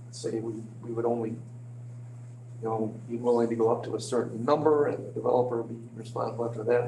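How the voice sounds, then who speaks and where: A man's voice: medium pitch, warm tone. Hesitant man with slightly crackly voice, echoey room. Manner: hesitant, halting, hedging. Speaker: village official presenting to a board. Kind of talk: informal meeting briefing. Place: indoor village board meeting room. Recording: echoey meeting room, clear hum.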